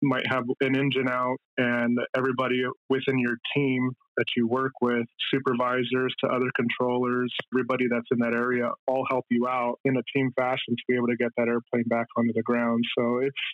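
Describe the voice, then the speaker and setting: A man's voice: mid-range voice, monotone, fairly quick, hardly any pauses, no echo, dull audio. A middle-aged man giving casual, explanatory answers as an interview guest. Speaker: interview guest, air traffic controller.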